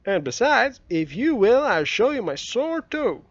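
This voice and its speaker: A man's voice: extremely high pitch, sing-song, a little slow, dry recording, voice pushed up, full character voice. A man voicing a scheming young boy who eagerly coaxes and bargains, in a dull, dry recording. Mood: coaxing.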